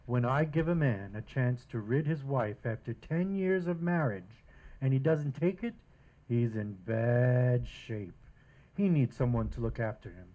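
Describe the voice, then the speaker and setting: A man's voice: mid-range voice, measured pace, dark tone, natural voice, poor audio. A man playing a pompous, declamatory judge in an old-time radio comedy. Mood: mock-solemn. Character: brisk theatrical male domestic-court radio judge.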